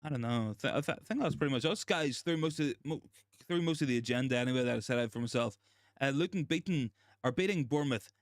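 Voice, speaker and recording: Hoarse, gravelly man. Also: medium pitch, quick pace, no room sound, studio quality. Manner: repeats himself, frequent ums and restarts. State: hoarse after a virus. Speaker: livestream host. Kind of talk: casual live chat reading comments.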